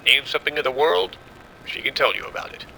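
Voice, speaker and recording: A man playing a confident scientist in an audio drama, explaining in a measured way. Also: mid-range voice, creaky, bright, forceful tone, slight background noise, poor audio. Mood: assured, explanatory. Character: confident male scientist running a secret military experiment.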